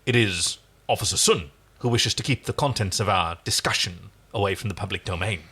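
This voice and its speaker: A man voicing a smug, calm male starship captain who speaks low and quietly. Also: brisk, slightly rough, exaggerated voice.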